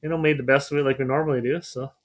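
A man's voice: medium pitch, fairly even intonation, rapid-fire. Easygoing male co-host, rambling casually. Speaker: podcast co-host. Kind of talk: casual chat.

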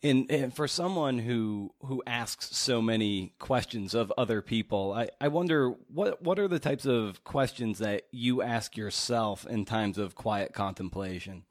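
An American man hosting a podcast interview, asking long questions in halting, searching phrases. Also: mid-range voice, no room sound, studio quality.

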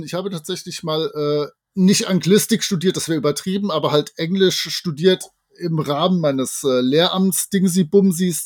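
A man's voice: high voice, darkish tone, no echo, clean studio sound. A man co-hosting a podcast, talking in a wordy, jocular way with colloquial asides. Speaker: podcast co-host. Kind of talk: chatty podcast narration.

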